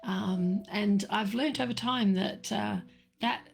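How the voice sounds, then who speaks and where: A middle-aged woman hosting a live chat about tea, talking slowly with lots of fillers, her voice a little croaky. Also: mid-range voice. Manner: slow, meandering, many fillers and restarts. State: slightly croaky. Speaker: livestream host answering viewers.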